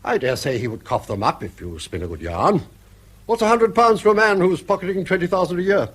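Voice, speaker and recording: Blustering old British grandfather, husky, swooping. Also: high voice, sing-song, a little fast, a bit bright, exaggerated voice. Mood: needling. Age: older. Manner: blustering, sardonic, garrulous. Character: bankrupt, blustering, needling old grandfather, unashamed and argumentative. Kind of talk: British radio drama acting.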